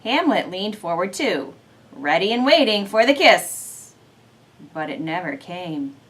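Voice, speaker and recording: A woman's voice: on the low side, sing-song, measured pace, fairly bright tone. A woman on a school staff reading a children's story aloud with expression.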